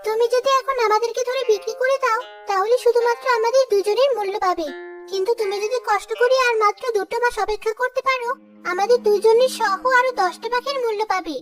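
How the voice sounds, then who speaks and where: A woman's voice: very high-pitched, fast, over music. A woman voicing a clever, bold female bird in a children's cartoon. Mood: persuasive.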